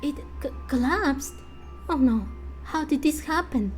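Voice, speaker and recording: A woman voicing a small, excitable, sceptical fairy companion in a game, speaking in an exclamatory way. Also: high voice, measured pace. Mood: alarmed. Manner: exclamatory, indignant, speaks of herself by name. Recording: music under the voice.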